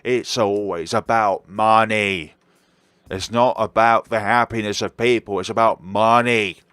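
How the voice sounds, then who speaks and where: A man's voice: lowish voice, fairly even intonation, a little slow, fairly bright tone, smooth, no room sound. A man reading a visual novel aloud and giving blunt opinions. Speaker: gaming YouTuber.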